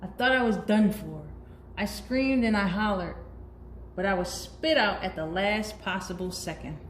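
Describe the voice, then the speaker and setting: A woman voicing a moody but earnest bad seed in a children's storybook, telling his story confessionally and dramatically. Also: on the low side. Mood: dramatic, brooding. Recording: roomy echo, faint background noise.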